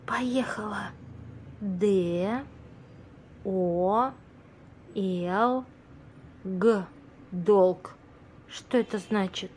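A woman's voice: medium pitch, drawn-out words, pauses often, darkish tone, slight background noise. An actress in an amateur audio play playing a naive, curious young woman, questioning and a little hesitant. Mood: nervous, puzzled.

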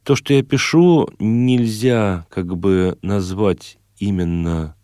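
A man's voice: on the low side, takes it slowly, dark tone, smooth, dry recording, clean studio sound. A man giving modest, reflective answers as an interview guest. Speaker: guest, classical singer.

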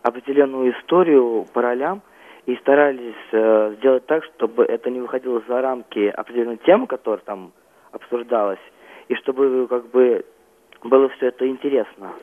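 Teenage boy on a muffled phone line. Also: medium pitch. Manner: halting, reserved, often says "like". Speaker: young amateur playwright, phone-in guest. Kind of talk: hesitant interview answers. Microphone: telephone.

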